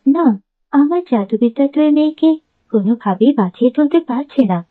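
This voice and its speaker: A woman's voice: high-pitched, lively intonation, brisk, dry recording, faint music behind. Woman voicing a concerned queen, tinny sound. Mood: grave, helpless. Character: concerned, caring queen with magical healing powers. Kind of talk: animated fairy-tale voice acting. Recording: thin, phone-like, very dry sound.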